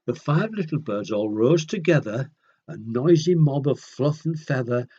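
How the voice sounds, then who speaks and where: A man's voice: mid-range voice, expressive, unhurried, mellow, breathy edge, dull audio. A man reading a picture book to children expressively, with chatty conversational asides to his young listeners. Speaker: children's storyteller.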